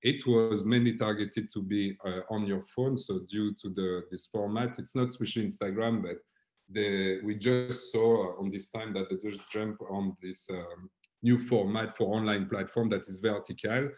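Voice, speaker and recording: A man from Belgium with a French accent, giving an online workshop presentation in a relaxed, filler-heavy way over a video call. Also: fairly low pitch, moderate pace. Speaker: workshop presenter, creative director.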